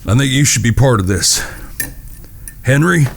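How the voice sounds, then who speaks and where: Serious protective cowboy, earnest, audio drama. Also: male voice, medium pitch, expressive, normal speed, vocal fry, a little hiss or hum. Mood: solemn. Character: grave, protective cowboy outlaw, eldest-brother type. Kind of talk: western audio drama acting.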